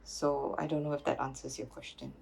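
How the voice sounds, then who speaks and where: A woman's voice: a bass voice, little pitch movement, fairly quick, vocal fry, darkish tone, slightly rough, rough recording. A woman, a curator answering interview questions reflectively, with many restarts and fillers. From Singapore. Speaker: curator and PhD researcher.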